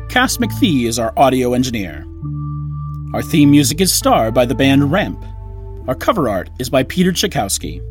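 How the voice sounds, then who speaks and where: A man announcing scripted credits for a fiction podcast. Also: medium pitch. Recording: light music under the voice.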